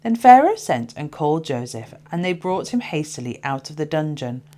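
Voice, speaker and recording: A woman giving an expressive, scripted reading of scripture as a Bible narrator, with dramatic and emphatic storytelling delivery. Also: deep voice, moderate pace. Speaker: Bible reader.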